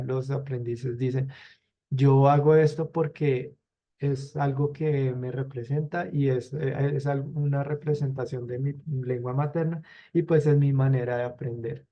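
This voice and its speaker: A man, a university teacher, giving academic feedback on a student's project with many fillers and pauses. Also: medium pitch, muffled recording.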